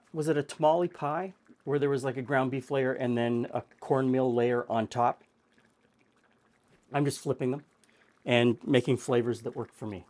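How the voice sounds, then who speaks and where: A middle-aged man, hosting a casual home cooking show and chatting through the steps with easy fillers. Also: mid-range voice. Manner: relaxed, chatty, thinking aloud with fillers.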